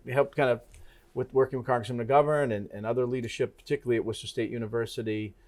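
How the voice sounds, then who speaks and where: A middle-aged man hosting a TV talk interview, speaking in a halting way with many restarts and fillers. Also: mid-range voice, fairly even intonation, a little mellow. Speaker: TV talk show host. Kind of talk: casual interview conversation.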